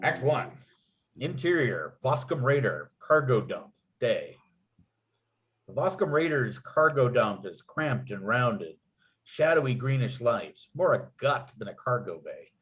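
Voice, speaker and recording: A man's voice: medium pitch, very animated, unhurried, fairly bright tone. A man reading script stage directions aloud as narrator, with clipped, dramatic scene headings. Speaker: narrator and writer.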